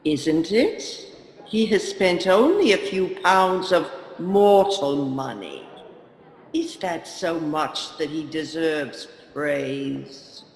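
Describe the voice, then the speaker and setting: A man's voice: fairly high pitch, expressive, takes it slowly. A man playing a grave, commanding ghostly spirit guide in a radio play, with formal speech and ghostly reverb. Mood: questioning. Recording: long echoing hall reverb.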